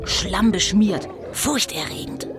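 A woman's voice: medium pitch, lively intonation. Casting: sensation-hungry female reporter, dramatic live report, children's spooky radio drama, music underneath. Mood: dramatic dread. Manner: dramatic, deliberate. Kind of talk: radio play acting as live on-the-spot report.

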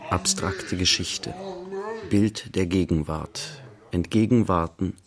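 An actor playing a detached observer who recites clipped, descriptive fragments in a literary radio play. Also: male voice, deep voice, slow pace. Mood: detached, observing. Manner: clipped, staccato phrases. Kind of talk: literary radio play, spoken stage directions.

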